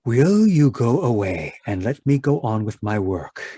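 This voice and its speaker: A man's voice: mid-range voice, sing-song, a little slow, warm tone, slightly rough, no echo. A man playing an irritable, domineering middle-aged scientist husband in an old-time radio drama, contemptuous and blustering. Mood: cold, irritated. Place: indoors, live video call performance.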